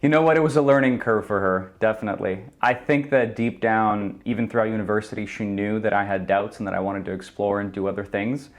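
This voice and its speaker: A man in his twenties from Ukraine, answering interview questions earnestly and thoughtfully, with frequent pauses and ums. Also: lowish voice, fairly even intonation. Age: 20s. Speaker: guest. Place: indoor studio.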